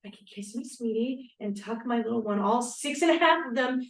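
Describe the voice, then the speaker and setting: Young 1940s homebody bride woman actor, sincere, higher-voiced, read-through in a reverberant room. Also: moderate pace, close to an everyday voice. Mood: defiant. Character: earnest young 1940s bride-to-be longing for home and family. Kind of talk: script read-through acting. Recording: distant, echoey room microphone.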